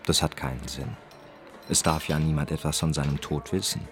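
An actor narrating a melancholy crime radio drama in a terse, detached manner. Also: male voice, extremely low pitch, fairly even intonation, moderate pace. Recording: music behind the narration.

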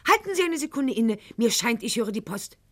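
A woman's voice: on the high side, very animated, fairly quick, fairly bright tone. An actress playing a proud, bitter, sickly aristocratic lady in a theatrical radio monologue, with mocking, precise, formal diction. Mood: haughty, scornful.

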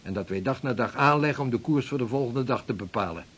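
A man's voice: medium pitch, quick pace, fairly bright tone, husky. A man acting in a historical radio play as a devout, determined Arctic explorer, speaking formally and with authority. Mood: commanding, impatient. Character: driven, devout 16th-century male Dutch Arctic explorer.

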